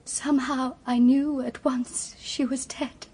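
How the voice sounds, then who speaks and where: Very breathy, anxious woman. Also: high-pitched, little pitch movement, takes it slowly, a little mellow, exaggerated voice. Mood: shaken. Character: anxious young woman accused of murder, guardedly insistent. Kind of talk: British detective radio drama.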